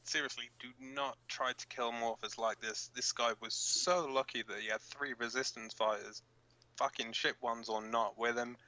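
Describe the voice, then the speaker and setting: A very bright, forceful male voice of middling pitch played by an actor. He is a brash, hot-headed resistance fighter on underground radio, blunt and impatient, speaking informally in a sci-fi audio drama. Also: fairly even intonation, moderate pace, natural voice, poor audio. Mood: blunt, scornful.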